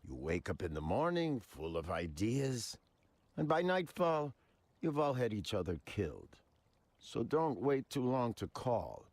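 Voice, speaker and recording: A man's voice: mid-range voice, very animated, a little slow, darkish tone, dry recording. An actor playing a menacing, eccentric male crime boss with a leisurely, mock-polite manner in a video game. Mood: playful menace. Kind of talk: video game dramatic acting.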